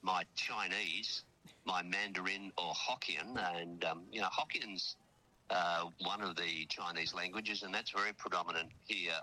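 Chatty Australian man, bright voice. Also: low-pitched, a little slow, poor audio. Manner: chatty, rambling, many fillers and restarts. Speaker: radio correspondent. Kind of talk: casual chat on a phone link. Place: outdoors at a memorial hall abroad.

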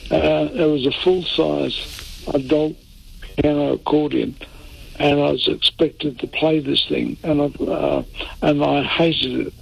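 An older man from Italy, a lawyer answering interview questions in a halting way, full of fillers and restarts. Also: on the high side, steady tone, gravelly, breathy edge, poor audio. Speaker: interview guest, defence lawyer.

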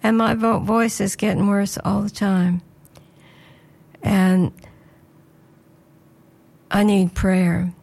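Woman, radio presenter, slightly hoarse, earnest devotional chat, medium pitch. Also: little pitch movement, slow, pauses often, darkish tone. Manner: earnest, prayerful, conversational.

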